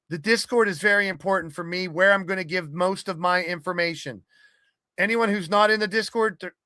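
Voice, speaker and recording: Man, gaming podcaster. Forceful, slightly high voice, blunt and loose casual delivery, dry recording. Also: clear, resonant tone, no room sound. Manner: blunt, sweary, rambling. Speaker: podcast host and wrestling game streamer. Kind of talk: casual monologue to an online community.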